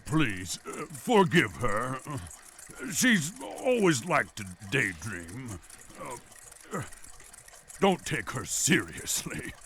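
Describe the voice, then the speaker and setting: A man playing a gentle, anxious, apologetic princess's attendant in a video game, polite and hesitant. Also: medium pitch, sing-song, drawn-out words, exaggerated voice.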